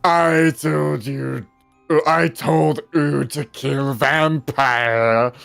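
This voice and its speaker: A woman voicing an evil dark wizard who is angry and commanding, speaking archaically in a grandiose, drawn-out, menacing way. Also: sits low, fairly even intonation, creaky, soft background music, exaggerated voice. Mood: menacing rage.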